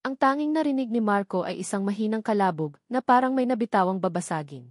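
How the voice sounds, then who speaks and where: A woman's voice: mid-range voice, lively intonation, fast talker, no echo, studio quality. A woman reading scripted dramatic narration as a storyteller.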